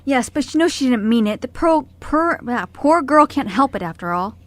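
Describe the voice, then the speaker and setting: A woman's voice: high-pitched, animated, normal speed, no echo. A woman playing a kindly, reluctant orphanage worker in an amateur radio play, apologetic and hesitant. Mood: worried, reluctant. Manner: soft-hearted, apologetic, hesitant.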